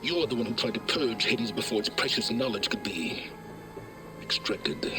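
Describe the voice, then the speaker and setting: Actor as pompous scheming scholar, very animated. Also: male voice, on the low side, music in the background, natural voice. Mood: indignant. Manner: pompous, theatrical, deliberate emphasis. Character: arrogant, condescending, scheming older male scholar. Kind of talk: video game cutscene acting.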